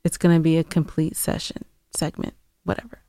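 Female podcast co-host, smooth low-leaning voice, casual and conversational. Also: level tone, brisk, mellow, no echo, clean studio sound. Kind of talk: casual advice podcast chat.

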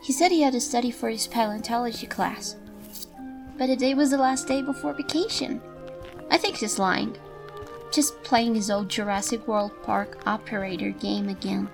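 A woman's voice: fairly high pitch, steady tone, normal speed, creaky, music in the background. A woman playing an eager, affectionate fifteen-year-old daughter in an audio drama. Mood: gossipy.

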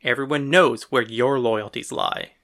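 Woman acting out a defiant husband and mayor, low pitch, forceful bright edge, blustering, exasperated, audiobook dialogue. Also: measured pace, full character voice. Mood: evasive, defensive. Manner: indignant, blustering.

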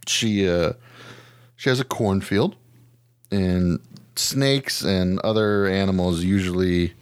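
A man's voice: sits low, takes it slowly, clean tone, no room sound. A man hosting a podcast, chatting casually about odd news in a slangy, joking, profane way. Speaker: podcast host. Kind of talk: casual news chat with a co-host.

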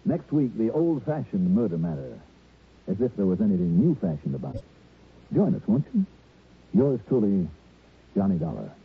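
A man's voice: lowish voice, big pitch swings, moderate pace, vocal fry, pauses often, slightly rough, heavily acted. An actor playing a wry, unruffled investigator who narrates his case conversationally in an old radio detective drama. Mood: wry, easy. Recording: tinny old radio broadcast with music.

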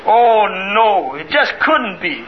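Very high squeaky naive helper. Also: male voice, very animated, slow, exaggerated voice. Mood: astonished. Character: naive, squeaky, inquisitive male tavern helper. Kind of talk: 1950s radio comedy acting. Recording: noisy, muffled 1950s radio recording.

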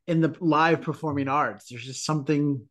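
A woman's voice: deep voice, moderate pace. A woman hosting a theater podcast interview, asking questions and praising her guest's answers effusively. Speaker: podcast host.

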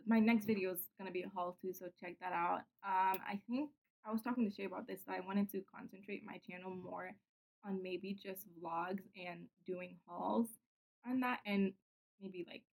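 A woman's voice: medium pitch, creaky, darkish tone, poor audio. Giggly young woman, chatty. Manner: giggly, chatty, lots of "like" fillers. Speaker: podcast guest, YouTuber. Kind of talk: casual chat.

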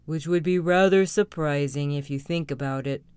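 A woman voicing a gloomy, self-pitying male donkey who talks slowly in a mopey, deadpan way. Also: deep voice, smooth, no room sound, voice pushed down, natural voice.

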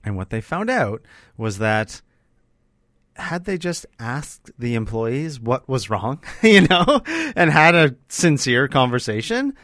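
A man's voice: mid-range voice, big pitch swings, slow, fairly bright tone, no room sound, studio quality. A middle-aged man talking casually and reflectively in a conversational interview, with an earnest way of explaining things. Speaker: workshop facilitator and speaker, interviewing.

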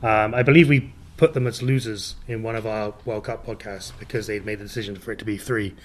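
Male podcast co-host, lowish voice, easygoing football banter. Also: a little fast. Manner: relaxed, chatty, laughs easily. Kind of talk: casual football chat.

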